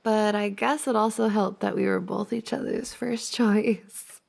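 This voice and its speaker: A woman playing a giggly, teasing young woman confessing to her crush in an intimate ASMR roleplay, with a creaky voice. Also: mid-range voice, fairly even intonation, measured pace, darkish tone. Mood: sheepish, curious. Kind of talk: intimate ASMR roleplay, acted as one side of a conversation.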